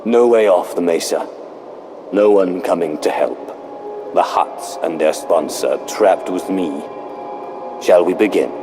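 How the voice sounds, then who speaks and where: A man playing an arrogant, menacing Sith dark lord, imperious and confident, in a video game. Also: low voice, measured pace, long pauses, noisy background. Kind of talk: video game cutscene acting.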